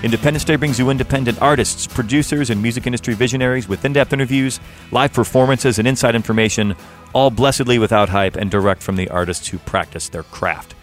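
A man hosting a music interview show, reading a scripted introduction and then chatting with a band. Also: mid-range voice. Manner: measured, announcer-like delivery. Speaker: radio show host.

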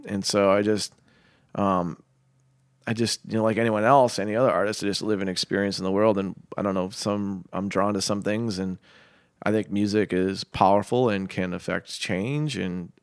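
Man from Boston, gravelly low voice, halting and thoughtful, dry close sound. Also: fairly even intonation, no echo. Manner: halting, thoughtful, many fillers and restarts. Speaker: singer-songwriter guest. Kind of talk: casual interview chat.

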